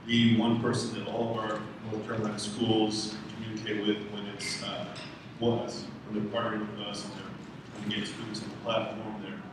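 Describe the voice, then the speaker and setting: A man's voice: lowish voice, monotone, normal speed. A man introducing himself as a company executive at a conference, short and with a few fillers. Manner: brief self-introduction with fillers. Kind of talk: conference presentation. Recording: echoey room, distant mic, noise.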